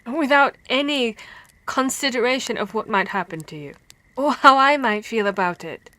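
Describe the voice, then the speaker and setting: A woman playing a female vampire hunter who scolds and cares for her comrade, in an expressive, stammering ASMR roleplay. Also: fairly high pitch, normal speed, dry recording. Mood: scolding, worried.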